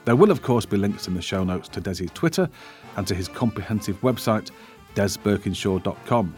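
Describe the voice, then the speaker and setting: A man's voice: lowish voice, animated, fairly quick, a little mellow, faint music behind. A male podcast host chatting wryly about a film, then reading the show's closing credits. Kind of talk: casual film chat and scripted outro.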